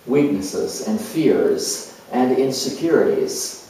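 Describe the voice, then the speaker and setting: Man acting as earnest spiritual teacher, very slow deliberate delivery, reflective, dramatic monologue, heavily echoing room. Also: medium pitch, animated, dark tone, a little hiss or hum. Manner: reflective, halting, deliberate with long pauses. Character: earnest spiritual coach, self-assured reformed show-off turned teacher. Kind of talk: dramatized monologue as if answering an interview. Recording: distant mic in very echoey room.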